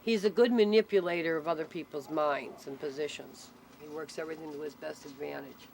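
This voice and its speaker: A woman's voice: low voice, steady tone, a little fast, vocal fry, a little mellow. A woman explaining things in a casual, conversational way, with firm opinions of her own. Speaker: interviewee explaining local nicknames. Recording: noisy ambient recording with vehicle sounds.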